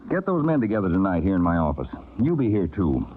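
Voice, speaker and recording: An actor playing a shrewd, authoritative counterspy chief in a vintage radio drama, clipped and decisive in delivery. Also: male voice, low-pitched, very animated, quick pace, slight background noise. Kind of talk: 1950s radio drama acting. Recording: tinny old radio broadcast.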